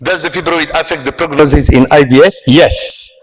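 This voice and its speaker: A man from Morocco with a Moroccan accent, giving a medical lecture at an online conference in a halting way, pausing often. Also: fairly high pitch, a loud crowd, poor audio. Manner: halting, with frequent pauses and hesitations. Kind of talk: medical presentation.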